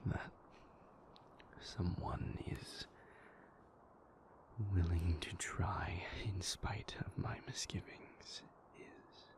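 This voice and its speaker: A man playing a moody, guarded but tender vampire lover in a soothing, intimate, whispered ASMR roleplay. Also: steady tone. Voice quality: breathy whisper with gravelly low undertone. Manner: slow, soft, soothing, intimate delivery.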